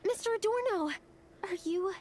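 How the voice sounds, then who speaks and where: A woman's voice: extremely high pitch, normal speed, darkish tone, faint music behind. A woman voicing an earnest, attentive young research assistant in a video game. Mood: worried.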